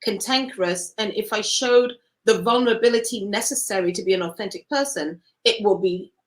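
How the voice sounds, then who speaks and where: A woman's voice: mid-range voice, a little fast. A woman from Cameroon, an interview guest telling her story in an earnest, reflective way.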